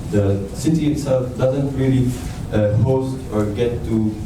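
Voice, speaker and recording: Earnest man pitching in an echoey room. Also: measured pace. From Nazareth, Israel. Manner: earnest, restarts phrases often. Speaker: startup founder pitching. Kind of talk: business pitch presentation. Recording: echoey room, mic far off, noisy.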